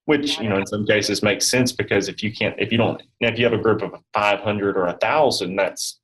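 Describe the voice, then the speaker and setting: A man giving informal interview answers as an insurance expert, talking in a rambling, halting, conversational way. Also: on the low side, fairly bright tone, poor audio. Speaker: guest expert on health insurance benefits.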